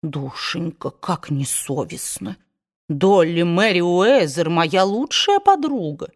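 A woman's voice: a little high, pitched above the performer's own voice, lightly acted. A woman doing a fussy, weepy old aunt. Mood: shocked. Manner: hushed, fretful, slow.

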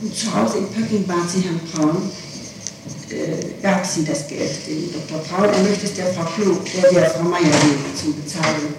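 A woman's voice: lowish voice, moderate pace. A woman gives spontaneous testimony under questioning, often restating her words. She is heard from far off in a very echoey room, on a noisy old 1960s tape. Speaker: person being questioned in an interrogation. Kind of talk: interrogation testimony, spontaneous answers. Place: echoey room, recorded from a distance.

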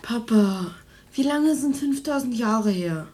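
Eager little boy of twelve, high voice, curious kid in a radio play. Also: expressive, slow pace, darkish tone. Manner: eager, childlike. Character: curious, eager twelve-year-old boy, younger brother. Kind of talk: radio drama acting.

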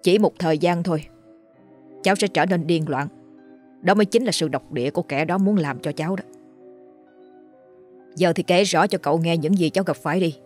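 A woman voicing a grave older uncle versed in exorcism, who speaks in a low, hushed and brisk, solemn way. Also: faint music behind, natural voice.

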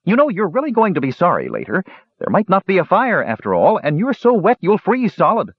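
A high, bubbly young kender full of mischief. He teases with a lively, sing-song bounce. The recording is dry and a touch dull. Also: fairly quick, slightly rough, no room sound, exaggerated voice. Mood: teasing. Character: young excitable male kender, impish and cheerful.

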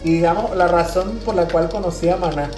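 A man's voice: high voice, fairly even intonation, a little fast, roomy sound, over music. A man with a Venezuelan accent explaining things in casual podcast chat, repeating words as he thinks. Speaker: podcast co-host.

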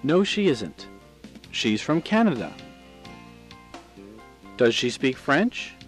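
American male lesson presenter, very measured pace, lively intonation, scripted instructional read. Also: medium pitch, over music. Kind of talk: scripted instructional question drill.

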